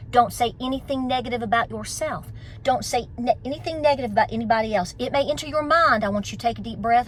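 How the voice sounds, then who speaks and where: A woman's voice: fairly high pitch, a bit bright, a little hiss or hum, low-quality recording. A middle-aged woman with a Southern Appalachian accent, giving an emphatic, folksy pep talk in a casual vlog style. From United States. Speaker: homesteading vlogger.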